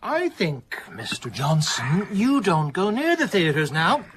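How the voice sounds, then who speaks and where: An actor playing a sociable, needling literary gentleman in a period radio drama, with lively, teasing challenges. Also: high-pitched, slow pace, faint music behind, exaggerated voice.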